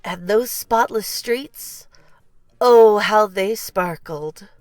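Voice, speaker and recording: A woman narrating a children's storybook in an expressive, deliberate read-aloud style. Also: mid-range voice, no echo. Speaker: storybook reader.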